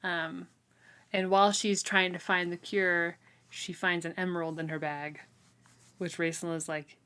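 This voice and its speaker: A woman's voice: lowish voice, level tone, unhurried, fairly bright tone, clean tone. Giggly rambling woman podcaster. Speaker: podcast co-host. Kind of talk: casual book club podcast chat.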